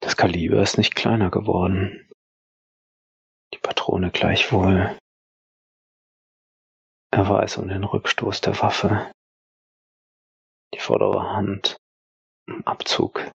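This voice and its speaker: A man narrating an audio drama in a dramatic scripted style, husky and gravelly, with terse, weighty phrases and pauses. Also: lowish voice, flat delivery, fairly bright tone, no room sound, highs cut off.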